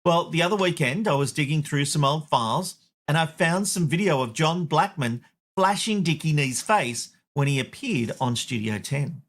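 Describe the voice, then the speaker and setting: Aussie bloke hosting a media roundup, bit higher voice, dry and opinionated. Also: male voice, expressive, moderate pace, a bit bright. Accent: Australian. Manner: wry, opinionated. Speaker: host. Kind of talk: media commentary.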